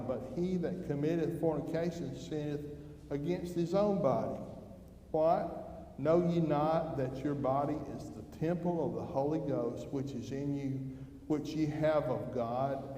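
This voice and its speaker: A man's voice: a little high, darkish tone. An older man giving a church devotional in a folksy, conversational manner, putting questions to his listeners. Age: older, grandfather. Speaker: devotional speaker at a church service. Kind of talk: devotional talk. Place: church hall during an evening service. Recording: roomy, echoing hall with background noise.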